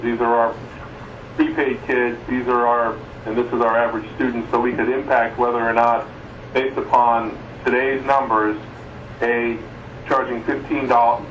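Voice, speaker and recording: A man leading an informal meeting discussion, speaking haltingly as he thinks aloud. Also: medium pitch, fairly bright tone, a little hiss or hum. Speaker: work group chair running a conference call. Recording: muffled, roomy, distant conference phone line.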